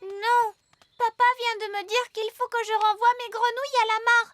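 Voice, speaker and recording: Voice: very high-pitched, level tone, moderate pace, a little hiss or hum. A performer voicing an eager, earnest young fairy princess girl in a children's cartoon dub. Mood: worried, earnest. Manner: bright childlike exclamations, earnest and insistent. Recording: music and tinkling effects behind voice.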